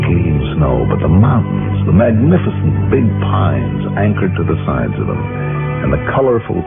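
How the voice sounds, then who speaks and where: A man's voice: low voice, gravelly, heavily acted. A man acting a weary, wry American insurance investigator in a 1950s radio detective drama, dry and skeptical. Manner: dry, sardonic. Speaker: radio actor playing the lead. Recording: muffled old radio broadcast, loud music.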